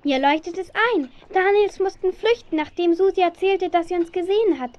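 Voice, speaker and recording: A woman's voice: very high-pitched, brisk, highs cut off. An actress playing a sensible, sympathetic teenage girl in a German radio play, earnest and reasoning. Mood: worried, reasoning. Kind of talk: German radio play acting for young listeners.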